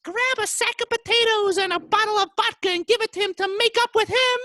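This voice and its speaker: Bossy, doting rich wife: very high voice, animated swoops, wheedling. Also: male voice, dry recording, studio quality, heavily acted. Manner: imperious, drawn-out wheedling. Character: landowner's bossy, indulgent high-pitched wife.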